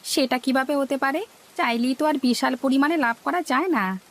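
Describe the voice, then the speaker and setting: A woman's voice: sits high, normal speed, a bit bright, a little hiss or hum. A woman voicing a naive, eager male village fish seller in a cartoon, with a questioning, simple-minded manner. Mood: puzzled. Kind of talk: cartoon dialogue.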